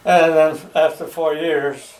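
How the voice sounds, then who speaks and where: An elderly man born in the United States, slowly recalling his childhood in a home interview, with halting pauses as he searches for details. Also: a little high, level tone, darkish tone. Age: older. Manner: slow, halting storytelling with many pauses. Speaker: interviewee recounting his life. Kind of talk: family oral history interview. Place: living room, seated in a recliner. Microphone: camera mic from across the room. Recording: roomy home video from a distance.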